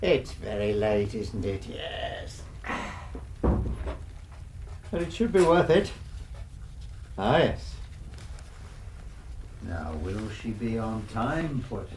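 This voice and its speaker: Drawling, oily gentleman blackmailer. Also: fairly low pitch, sing-song, drawn-out words, long pauses, noisy background, heavily acted. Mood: smug, cooing. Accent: British. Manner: oily, drawling, over-courteous. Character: unctuous, self-satisfied male blackmailer fussing over his cat. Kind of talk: radio drama acting.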